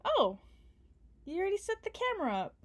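A woman's voice: very high-pitched, wildly swooping pitch, brisk. A young woman doing an ASMR roleplay as a partner, playful, affectionate and teasing. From Canada. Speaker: ASMR performer.

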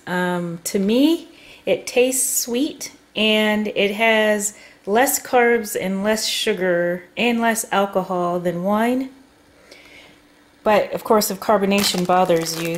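A woman vlogger casually talking through her grocery haul at home, unhurried with frequent ums. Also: mid-range voice, a little hiss or hum. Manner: chatty, unhurried, many ums. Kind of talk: casual food haul narration. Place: home kitchen table.

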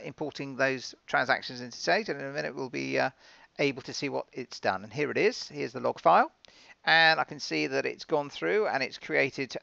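A man presenting software in a conversational, step-by-step, informal explanatory style, recorded as a dull, lo-fi voice-over. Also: medium pitch, gravelly, dry recording. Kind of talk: conversational software demo narration.

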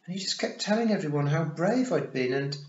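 A man's voice: on the high side, animated, fairly quick, a little mellow, pitched above the performer's own voice, close to an everyday voice. A man voicing an embarrassed, well-born boy in an audiobook, hesitant and stammering as he blurts things out. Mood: anxious. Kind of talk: audiobook character voice. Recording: muffled, roomy recording.

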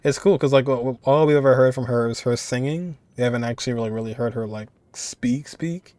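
A man's voice: medium pitch, fairly quick, mellow, dry recording. A man giving casual reaction commentary, musing aloud informally and repeating phrases. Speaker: reaction video creator.

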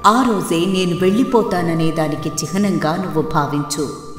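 A woman's voice: on the low side, moderate pace, reverberant, big-room sound, pitched below the performer's own voice, natural voice. A woman voicing a regal, commanding goddess of wealth in a cartoon story, stern but gracious. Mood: firm, lofty. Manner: commanding, lofty, declarative. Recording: music under the voice.

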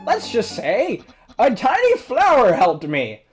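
A woman voicing an excitable young male skeleton who shouts with dramatic enthusiasm. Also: on the high side, slow, soft background music, exaggerated voice. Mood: smug.

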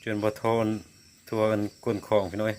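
A man's voice: fairly low pitch, monotone, fairly quick. A man with a northeastern Thai Isan accent giving casual outdoor vlog commentary, often addressing his viewers directly as he shows things. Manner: repeatedly addresses viewers while showing the catch. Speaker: vlogger hunting frogs. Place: outdoors in the countryside at night. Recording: clear outdoor noise, insects and crickets.

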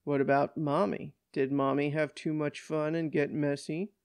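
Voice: mid-range voice, flat delivery, normal speed, a little mellow, clear, resonant tone, no room sound, clean studio sound, lightly acted. A narrator voicing a stern, old-fashioned male police officer, condescending and firm, in an audiobook story. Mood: patronizing.

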